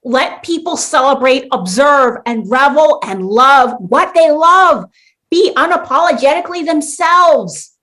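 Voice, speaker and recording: A woman's voice: high voice, animated, measured pace, bright, forceful tone, heavily acted. A woman playing an earnest, defiant, dramatic holiday mascot in a radio play, with a heartfelt theatrical delivery.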